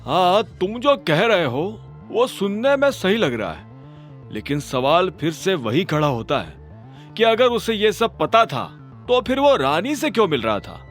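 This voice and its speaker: A man's voice: high voice, very animated, measured pace, soft background music, voice pushed up. A man playing an earnest company boss in a mafia group, reasoning things out emphatically in an audio drama. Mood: explaining.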